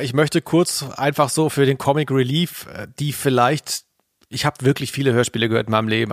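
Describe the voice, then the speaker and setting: A man's voice: mid-range voice, no echo. A man co-hosting a podcast in casual, opinionated chat, with a dry, blunt and critical way of talking. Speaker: podcast host.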